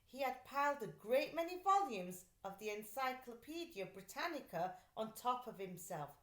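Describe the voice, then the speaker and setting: A woman's voice: on the high side, moderate pace, echoey room. A woman reading a children's story aloud like a teacher, expressively and with dramatic delivery. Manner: animated, dramatic character voicing in dialogue.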